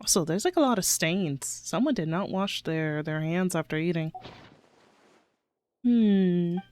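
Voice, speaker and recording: A woman's voice: on the low side, expressive, unhurried, darkish tone. A woman streamer giving casual, exclamatory reactions as she plays a video game. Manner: exclamatory, giggly.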